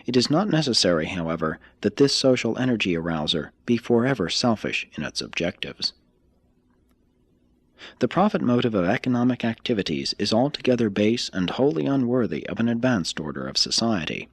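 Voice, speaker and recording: A man reading a scripted spiritual text like an audiobook, in a measured, emphatic manner. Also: lowish voice, very animated, breathy edge, dry recording.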